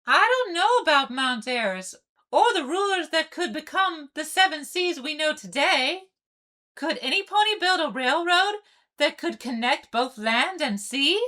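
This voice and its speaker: A woman puts on a young female sea pony for a fan-made cartoon dub. The voice is extremely high and bright, girlish and eager, with a polite, bubbly royal manner. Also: lively intonation, a little slow, exaggerated voice. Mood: curious, eager. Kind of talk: amateur comic dub voice acting.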